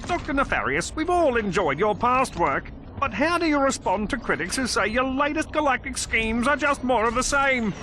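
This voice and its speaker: High-voiced sensational TV host. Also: male voice, very animated, normal speed, hardly any pauses, background noise. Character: breathless, sensational male TV documentary host. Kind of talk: tabloid TV presenting.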